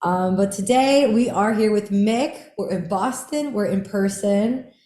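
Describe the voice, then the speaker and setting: A young woman hosts a podcast in relaxed chat, giggling often and filling her talk with little "like"s. Her voice is mellow and soft-edged, and the room around her rings with a roomy echo. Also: medium pitch, measured pace. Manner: giggly, chatty, lots of "like". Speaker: podcast host. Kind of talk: casual chat. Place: in person, indoors.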